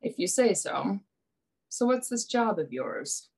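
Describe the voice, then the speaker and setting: A woman's voice: mid-range voice, big pitch swings, takes it slowly, creaky, darkish tone, slightly rough, exaggerated voice. A woman playing a brash, wry young male adventurer in a radio play, with a dry, teasing manner. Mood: wry, skeptical.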